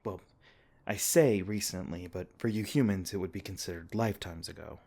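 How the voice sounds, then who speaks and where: Brief: a low-voiced, tender fae king played by a man, soothing and unhurried in his kindness. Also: creaky. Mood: gentle. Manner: soft-spoken, reassuring, with small laughs. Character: gentle, protective male fae king. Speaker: ASMR roleplay performer. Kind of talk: ASMR comfort roleplay.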